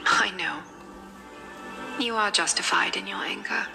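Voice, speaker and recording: A solemn, consoling wise woman speaks slowly and gently. Loud music swells behind her in the game audio. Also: on the low side, creaky. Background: background music.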